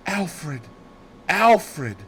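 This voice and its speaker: A man's voice: high-pitched, sing-song, drawn-out words, a bit bright, a little hiss or hum, voice pushed up, heavily acted. Man as a gasping, stricken old lady. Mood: strangled, desperate. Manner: weak, gasping in short phrases. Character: stricken old lady, mistress of a country house.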